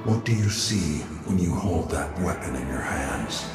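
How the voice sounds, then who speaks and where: Stern, weary veteran warrior mentor for a game; gravelly, swinging, admonishing delivery. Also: male voice, rumbling low, lively intonation, exaggerated voice. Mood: stern, reflective. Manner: deliberate, admonishing. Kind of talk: dramatic video game acting. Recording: echoing, loud music underneath.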